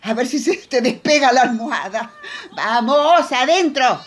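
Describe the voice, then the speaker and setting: A woman's voice: high voice, animated, a little slow. A woman playing a bossy, motherly, theatrical troupe actress in a radio drama, with an Argentine accent and exclamatory, fussy talk. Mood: exasperated. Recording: noisy vehicle ambience.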